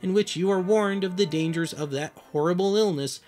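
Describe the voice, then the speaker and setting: A man delivers scripted documentary narration as a host. His voice sits a little high for a man, and his humour is dry and wry. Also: fairly quick, a little mellow, soft background music.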